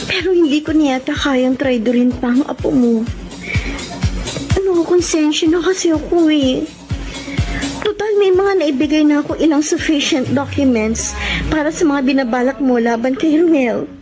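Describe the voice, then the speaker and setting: A woman's voice: high-pitched, normal speed, natural voice. An actress playing a conflicted, guilt-ridden young woman who pleads earnestly in a Tagalog radio drama, with an emotional delivery. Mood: apologetic, firm. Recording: music under the voice. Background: dramatic background music.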